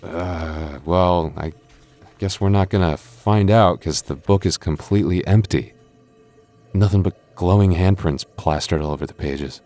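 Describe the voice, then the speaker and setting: Guy doing a goth bf asmr roleplay, really low voice, playful teasing, faint music underneath. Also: measured pace, mellow. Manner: teasing, stammering, chuckling often. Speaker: voice actor.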